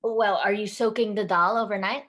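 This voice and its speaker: A woman's voice: medium pitch, steady tone, a bit bright, natural voice. A woman playing a stern, traditional middle-aged Indian mother in a staged reading, speaking firmly and instructively. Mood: brisk, instructive. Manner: firm, halting, instructive. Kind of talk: staged reading over video call.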